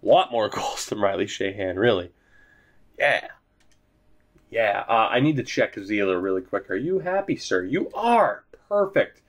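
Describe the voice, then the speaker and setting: A man's voice: fairly low pitch, sing-song, a little slow. A young man giving casual, rambling commentary over a hockey video game, swearing often and joking at his own expense. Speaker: gaming YouTuber.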